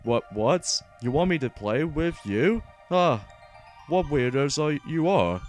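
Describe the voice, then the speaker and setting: A man voicing a blustering, grumpy monster villain from a video game, in a slow, stumbling read. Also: fairly high pitch, very animated. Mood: grudging. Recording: game music behind.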